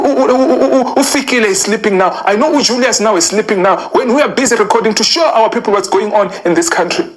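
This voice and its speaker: South African man, quick pace, higher-pitched voice, emphatic, repetitive, halting, impassioned reaction commentary. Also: a bit bright. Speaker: vlogger and political commentator.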